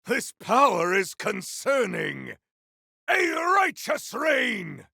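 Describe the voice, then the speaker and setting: Game hero: grandiose, vengeful elemental warrior, very gravelly and forceful, theatrical archaic combat lines. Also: male voice, extremely high pitch, huge pitch swings, drawn-out words, no room sound, studio quality, heavily acted. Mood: fierce, bombastic. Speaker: voice actor for a video game character. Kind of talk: video game combat barks.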